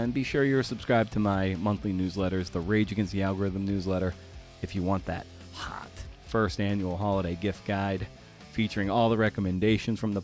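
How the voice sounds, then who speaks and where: Low-voiced man hosting a casual podcast. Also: darkish tone. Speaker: podcast host and interviewer. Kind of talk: casual podcast chat and monologue.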